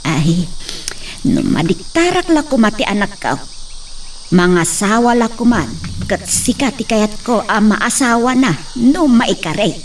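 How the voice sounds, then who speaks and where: A woman's voice: fairly high pitch, lively intonation, a little slow. A middle-aged woman playing a fond, fussing mother who laughs often. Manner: fussing, affectionate, laughs a lot. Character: doting village mother eager to see her son married. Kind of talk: amateur drama acting. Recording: distant mic with faint insect noise.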